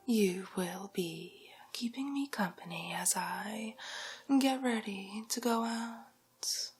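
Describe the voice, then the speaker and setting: A woman playing a haughty, vain, glamorous villainess in an ASMR roleplay, whispering breathily and condescendingly with drawn-out endearments. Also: mid-range voice, husky. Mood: haughty, indulgent.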